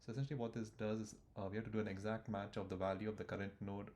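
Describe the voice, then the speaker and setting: A man with a lowish voice walks through code as he writes it, thinking aloud with fillers and restarts. Faint keyboard clicks sit underneath. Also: flat delivery, racing, darkish tone, a little hiss or hum. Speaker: coding tutor. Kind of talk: screen-recorded coding walkthrough.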